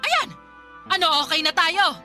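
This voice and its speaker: A betrayed wife in a Tagalog radio drama, confronting her husband. Her voice is very high and sharp, insistent and wounded, with faint music behind it. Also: sing-song, measured pace, full character voice. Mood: accusing. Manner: accusing, insistent, emotional.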